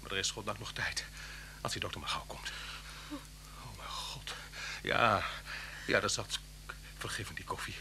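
An actor playing a resigned, remorseful wanted murderer in an emotional radio drama, halting and repeating his words. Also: fairly low pitch, sharp tone, in a whisper, full character voice. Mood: anguished. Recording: faintly noisy old radio recording with hum.

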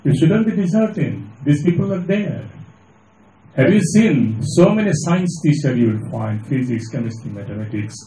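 A man's voice: fairly high pitch, big pitch swings, moderate pace, dark tone. An older man with an Indian accent answers as a panelist, lecturing emphatically with rhetorical questions. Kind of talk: public panel discussion. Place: large indoor auditorium. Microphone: handheld PA mic, heard from the audience camera. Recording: echoey hall PA, camera from a distance.

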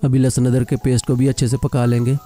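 A man narrating a cooking video voice-over, explaining each step calmly and plainly. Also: medium pitch, quick pace, soft background music. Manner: calm step-by-step instruction. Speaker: cooking channel host.

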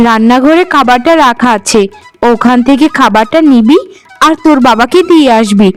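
A woman voicing a scolding, sharp-tongued middle-aged village mother in a cartoon, with a high voice. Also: close to an everyday voice. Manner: nagging, scolding. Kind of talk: cartoon voice acting.

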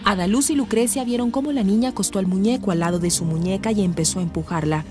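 A woman's voice: medium pitch, fast talker, close to an everyday voice. A woman narrating a serious radio soap opera from a script. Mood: serious storytelling. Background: music.

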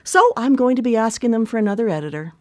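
An actress playing a brisk, sardonic older sister and proud home cook in a contemporary radio drama, with a dry, teasing manner. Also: female voice, on the high side, animated, darkish tone, lightly acted. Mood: apologetic, annoyed.

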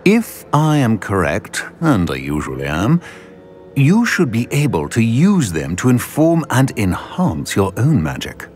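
An actor playing an older, self-assured wizard professor in a video game, speaking formally and with authority. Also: male voice, fairly low pitch, wildly swooping pitch, measured pace, darkish tone. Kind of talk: video game cutscene acting.

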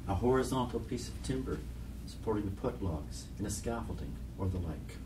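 A man giving a measured, scripted reading of documentary poetry. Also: on the low side, lively intonation, dark tone. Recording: distant mic, noisy background.

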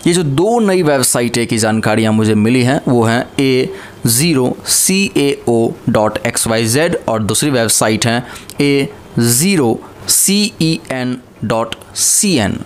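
A man's voice: medium pitch, animated, takes it slowly. A young man speaking casually to camera from a small room, earnestly warning his viewers about an online scam, holding a small mic near his chin. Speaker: cyber-safety awareness YouTuber. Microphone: small handheld mic held near chin.

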